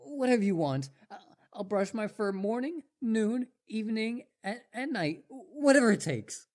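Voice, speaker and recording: Casting: obsessive young wolf boy suitor played by a performer for audio roleplay; very lively intonation, eager, stammering, over-devoted. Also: medium pitch, normal speed, close to an everyday voice. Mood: desperate pleading. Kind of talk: roleplay audio drama monologue to listener.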